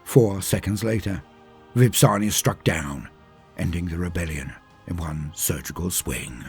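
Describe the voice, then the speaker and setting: A man's voice: sits low, unhurried, darkish tone, soft background music. A man narrating a scripted story in a dramatic, theatrical and emphatic manner. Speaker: storyteller and lore channel host.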